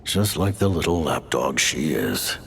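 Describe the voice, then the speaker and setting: An actor playing a stern older male commander in a video game cutscene, speaking in a grave, commanding and deliberate way with a gravelly voice. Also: very deep voice, big pitch swings, fairly bright tone, slight background noise, full character voice. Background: game score music.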